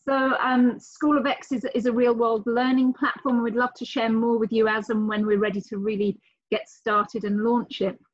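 A woman's voice: a little high, little pitch movement, normal speed, no echo. A middle-aged woman from the UK with a British accent, speaking articulately and enthusiastically as a guest on a live video call. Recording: dull lo-fi call audio.

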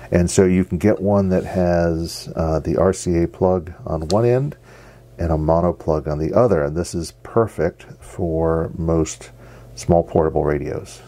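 A middle-aged man, giving a casual hands-on demonstration in a halting, unscripted way. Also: very deep voice, creaky, warm tone. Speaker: radio hobbyist presenter. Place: basement radio workshop, indoors. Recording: noisy home basement recording.

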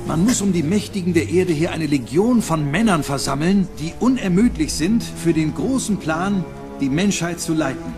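An actor gives voice to a principled professor from two centuries ago who lays out his plan with calm conviction. His voice sits a little high, and a music bed plays under the dramatized scene. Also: moderate pace, close to an everyday voice. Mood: firmly persuasive. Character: idealistic male 18th-century professor, earnest. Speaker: actor in a documentary reenactment.

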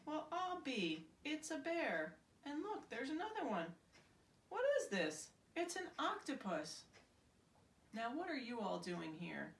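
A woman's voice: mid-range voice, expressive, normal speed, creaky. A woman voicing a kindly middle-aged male builder in a children's storybook, with folksy, surprised exclamations. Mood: amazed. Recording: roomy, distant mic, faint hiss.

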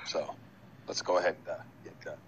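A young man streaming a game over a headset, joking, swearing and laughing loudly while he plays with a friend. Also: very deep voice, fairly even intonation, fairly quick, husky. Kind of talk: casual gameplay chat. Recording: slightly dull audio with loud music behind. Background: music playing.

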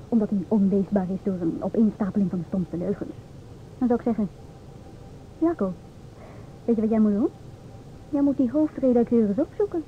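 An actress playing an outspoken, sharp-tongued woman in a Dutch radio play, with an assertive, mocking, theatrical delivery. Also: medium pitch, lightly acted. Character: outspoken, sharp-tongued divorced woman lecturing two inspectors. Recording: old radio, thin phone-like sound, noisy.

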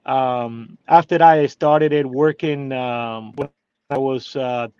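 A man's voice: mid-range voice, steady tone, takes it slowly, darkish tone, smooth, no echo. Cuban-accented man, hesitant, in a car. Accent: Cuban Spanish. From Cuba. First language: Spanish. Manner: hesitant, many "uh" fillers and restarts. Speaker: guest. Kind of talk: casual interview. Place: inside a parked car. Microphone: phone video call. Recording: dry, slightly dull phone audio.

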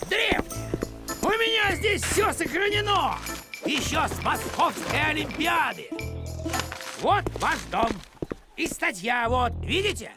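A man's voice: extremely high pitch, big pitch swings, slow, over music, exaggerated voice. A man voicing a fussy, stubborn elderly village postman in a cartoon, officious and indignant. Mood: indignant, proud. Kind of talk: animated cartoon voice acting.

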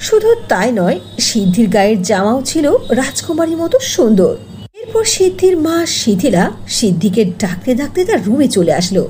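A woman's voice: fairly high pitch, sing-song, normal speed, warm tone. A woman narrating a scripted fairy tale as a storyteller. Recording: faint noise under voice-over.

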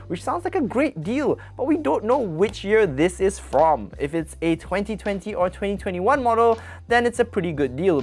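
A young Malaysian woman runs through tech bargains in a brisk, chatty way, her low-set voice swinging widely in pitch. She speaks from a small padded studio on an off-camera mic, with faint music underneath. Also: a little mellow. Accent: Malaysian English. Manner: brisk, upbeat, chatty asides with gestures. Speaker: tech channel presenter. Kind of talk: scripted product rundown. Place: small studio with red-lit acoustic panels.

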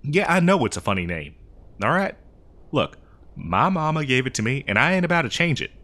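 A man's voice: mid-range voice, big pitch swings, brisk, pushed and bright. Guy doing a folksy old west drifter, exasperated n rambly, voice swings up and down, comedy audio roleplay. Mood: coaxing, defensive. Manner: folksy, rambling, hesitant improvised delivery. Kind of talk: improvised comedic audio roleplay addressed to the listener.

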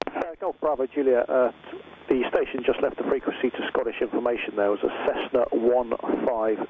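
A man's voice: medium pitch, brisk. A man working as a flight information officer, making procedural air traffic calls over aviation radio. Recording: narrow, noisy aviation radio transmission.

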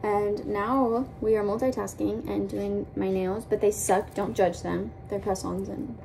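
A woman's voice: medium pitch, steady tone, a little slow, creaky, dark tone, slight background noise, rough recording. A young woman in her twenties talking casually to her phone camera, upbeat and chatty with lots of filler words. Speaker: vlogger. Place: home, beach and car. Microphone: phone held at arm's length.

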